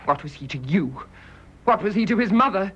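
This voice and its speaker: An actress playing a bitter, righteous British nurse in a radio drama, intense and indignant, with clipped delivery. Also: female voice, medium pitch, expressive, brisk, breathy edge. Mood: furious accusation. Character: bitter, accusing private nurse, fiercely devoted and righteous. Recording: dull, muffled radio drama recording.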